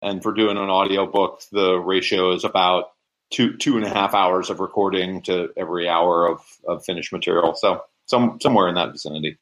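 A man's voice: deep voice, steady tone, a little fast, fairly bright tone, rough recording. A man speaking as an interview guest, giving casual explanations in conversation. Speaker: interview guest, society co-founder.

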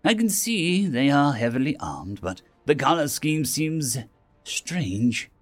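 A man's voice: on the high side, sing-song, takes it slowly, soft background music, full character voice. Man playing a musing, fussy government secretary. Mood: puzzled, musing. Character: detached, oddly fussy agriculture secretary.

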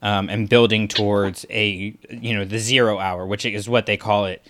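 A man's voice: fairly low pitch, fairly even intonation, normal speed, fairly bright tone. A man co-hosting a podcast, chatting casually about a story with many fillers and restarts. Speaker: podcast co-host. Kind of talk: casual discussion of a book.

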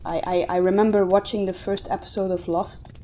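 A woman's voice: medium pitch, little pitch movement, brisk, warm tone. Woman in casual discussion, noisy background. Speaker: podcast co-host.

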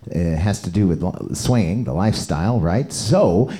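A man's voice: deep voice, expressive, moderate pace, dark tone. A man co-hosting a podcast in casual chat, joking, teasing and swearing freely. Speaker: podcast co-host.

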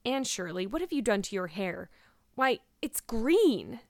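A woman voicing a stern, exasperated older guardian in a storybook, with a brisk, sarcastic, scolding manner. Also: medium pitch, animated, no echo, studio quality, natural voice. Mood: stern, baffled.

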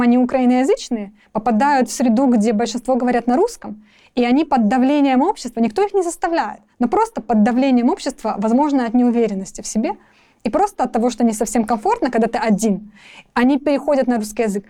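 A young woman from Ukraine answering interview questions, her voice pitched a little high but mellow and soft in tone. She talks thoughtfully, pausing often, repeating words and restarting her sentences as she works through her answers. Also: animated. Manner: thoughtful, with frequent restarts and hesitations. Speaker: interview guest. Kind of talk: conversational interview.

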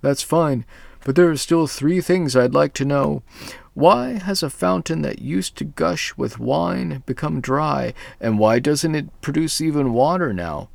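A man's voice: a little high, measured pace, gravelly, no echo, voice pushed up. A man doing an eager young hero.

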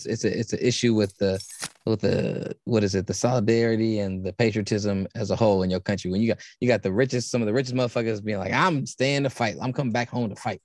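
A man talking casually on a podcast, rambling and halting with many restarts. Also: mid-range voice, no echo, clean studio sound.

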